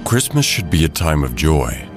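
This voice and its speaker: A man narrating a scripted audio drama in a dramatic, deliberate storytelling way. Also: very deep voice, sing-song. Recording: loud music bed behind the voice. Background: loud music.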